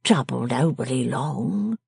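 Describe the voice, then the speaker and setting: A woman's voice: a bass voice, lively intonation, slow pace, vocal fry, a little mellow, husky, dry recording, full character voice. A woman voicing a wretched, abject old drunkard from a storybook, whimpering and pleading in a slurred drawl. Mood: rueful. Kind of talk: storybook character acting.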